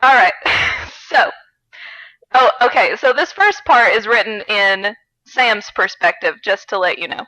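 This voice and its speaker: A woman's voice: mid-range voice, animated, measured pace, sharp tone, dry recording, low-quality recording. A woman co-hosting a podcast, giggly and wry as she chats and reads aloud for comedy. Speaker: podcast co-host. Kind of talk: comedic read-aloud of fan fiction with casual chat.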